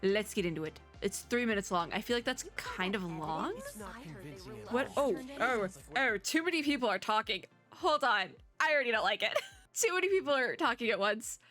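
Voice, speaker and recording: Bright-voiced woman chatting casually to gamers. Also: on the high side, expressive, normal speed, faint music behind. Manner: chatty, rambling, playfully exasperated. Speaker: reaction video host and VTuber. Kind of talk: casual reaction chat to gamers. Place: indoors at a home computer setup.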